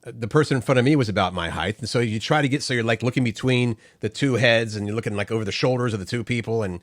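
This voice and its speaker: A man's voice: mid-range voice, quick pace, no echo, clean studio sound. A middle-aged man co-hosting a morning show, chatting casually in a rambling way and often repeating words as he starts a thought. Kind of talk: casual chat.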